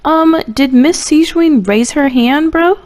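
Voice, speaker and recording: A woman's voice: sits high, measured pace, a little mellow. A woman streamer giving casual, sarcastic and exasperated reactions while playing a game. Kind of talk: casual reactive game commentary with read-aloud dialogue choices.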